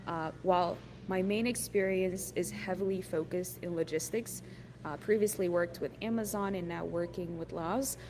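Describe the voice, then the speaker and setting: A woman from Ethiopia with a fairly low voice leads an online workshop over a computer mic. She talks in a friendly, encouraging way and fills her sentences with ums and uhs. A steady noise runs under the call audio. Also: normal speed. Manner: frequent fillers, friendly and encouraging. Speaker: workshop presenter.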